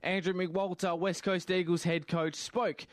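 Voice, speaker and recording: Sports radio presenter, higher pitch, dry studio sound, polished links. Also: male voice, flat delivery, measured pace, no echo. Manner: smooth, scripted link delivery.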